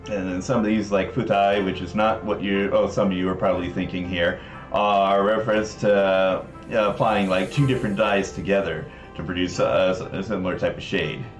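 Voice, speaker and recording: A lowish-voiced American man talks casually on a livestream in an explanatory, somewhat scholarly manner. His voice has a clear room echo, with faint music playing behind him. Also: steady tone, normal speed. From Southern California. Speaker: livestream host presenting a game project.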